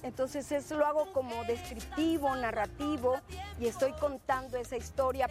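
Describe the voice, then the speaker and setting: A Zapotec-speaking woman, a singer and traditional healer, answering interview questions earnestly and hunting for words as she goes. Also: high-pitched. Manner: earnest, searching for words, repeats herself. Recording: music playing under the voice.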